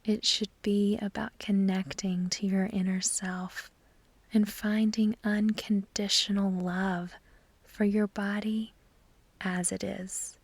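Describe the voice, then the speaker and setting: A woman reads a guided meditation at a slow, measured pace. Her voice is soft and whispery, with very little rise and fall. She gives soothing instructions in a dry recording with no room sound. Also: medium pitch, level tone, no echo.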